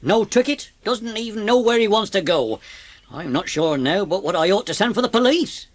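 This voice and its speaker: A man's voice: sits high, expressive, moderate pace. A bossy, self-important railway station master in a period audio drama. His English voice swings up and down as he brusquely takes charge, though he is fair underneath. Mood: grudging.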